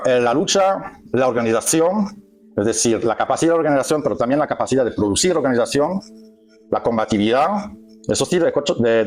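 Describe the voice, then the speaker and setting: A man's voice: medium pitch, darkish tone. A man lecturing a group in a language that is not his first, stopping often to search for words and correct himself. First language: not Spanish. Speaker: workshop speaker. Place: meeting hall with an audience.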